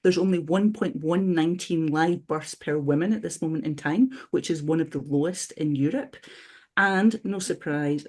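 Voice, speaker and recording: A woman's voice: sits low, warm tone. A woman with a Scottish accent, talking reflectively on a webinar panel and often restarting her sentences. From Scotland. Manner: reflective, with frequent restarts and "you know". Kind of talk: casual conversation.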